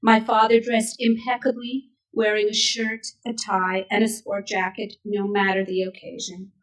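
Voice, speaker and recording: A woman in her sixties from Canada, whose first language was Yiddish, reading a prepared speech at a memorial event in a measured, steady way. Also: medium pitch. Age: 60s. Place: synagogue event hall. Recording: voice picked up from a distance.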